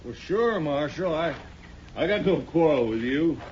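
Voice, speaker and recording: A man playing a shifty, defensive hired gunslinger in a 1950s radio western, with a halting, wheedling way of talking. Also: on the high side, lively intonation, darkish tone. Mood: uneasy. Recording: dull, hissy 1950s radio recording.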